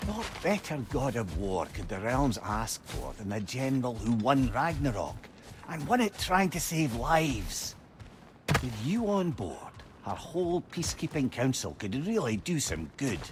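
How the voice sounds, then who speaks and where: An actor playing a wise, talkative advisor in a video game, eloquent and persuasive. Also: male voice, mid-range voice, very animated, a little slow, background noise. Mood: earnest, encouraging. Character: wise, talkative, encouraging male companion and advisor. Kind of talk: dramatic video game acting.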